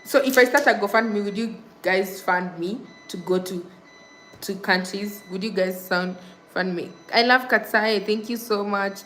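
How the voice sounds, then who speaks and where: A woman's voice: mid-range voice, a little slow, a bit bright, slight background noise. Young Kenyan woman chatting on a livestream. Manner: repeats thank-yous and subscribe reminders, reads comments aloud. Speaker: livestream host. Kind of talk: casual live-stream chat with viewers.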